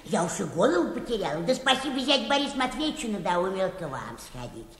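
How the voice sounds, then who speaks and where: An actress playing a pestering, plaintive older petitioner in a comic radio play, whining and obsequious in an old-fashioned folksy way. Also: female voice, mid-range voice, sing-song, brisk, close to an everyday voice. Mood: pleading. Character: pestering, plaintive older petitioner woman, humble yet stubborn. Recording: echoey hall reverb.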